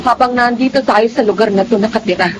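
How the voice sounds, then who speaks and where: A woman's voice: a little high, fast talker, background noise, natural voice. A woman acting in a Filipino radio drama as a long-suffering, devout mother, pleading yet firm and protective. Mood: weary resolve.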